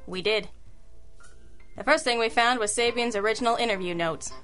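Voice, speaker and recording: A woman playing a sharp, wry private detective in an old-school radio drama, crisp and matter-of-fact, with compassion underneath. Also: fairly high pitch, normal speed, faint music behind, close to an everyday voice.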